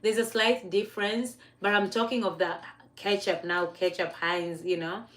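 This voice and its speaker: A woman's voice: mid-range voice, steady tone, moderate pace, fairly bright tone. A woman from Kenya with a Kenyan accent, talking on a casual motivational livestream, chatty and laughing often, stopping to correct her own words. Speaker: livestream host.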